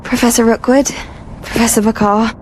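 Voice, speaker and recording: A woman's voice: a little high, little pitch movement, moderate pace, darkish tone, a little hiss or hum, natural voice. A woman playing an eager, polite young witch student in a video game cutscene, speaking earnestly. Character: eager, grateful young female fifth-year student witch.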